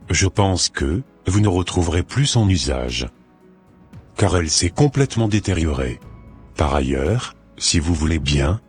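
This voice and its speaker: Hospital doctor for a cartoon male actor, polite and formal, low pitch, heavily rasping tone. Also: a little mellow, heavily acted. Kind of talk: amateur cartoon dialogue.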